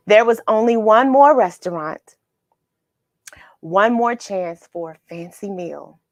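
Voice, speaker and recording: A woman reading a children's story aloud, expressive and dramatic, with pauses for effect. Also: medium pitch, dry recording. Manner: expressive, dramatic pauses, asks the listener questions. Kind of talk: animated read-aloud storytelling.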